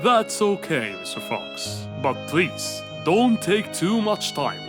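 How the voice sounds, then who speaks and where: A man's voice: high-pitched, big pitch swings, slow pace, full character voice. A man playing a genial, courteous lion king in a children's cartoon, regal and friendly. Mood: concerned, gracious. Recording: music behind the voice.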